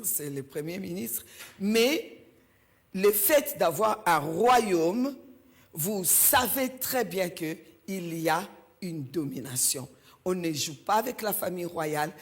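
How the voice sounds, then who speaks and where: Slow, emphatic woman preaching. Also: fairly low pitch. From Belgium. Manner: emphatic, repeats key phrases. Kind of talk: sermon. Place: church.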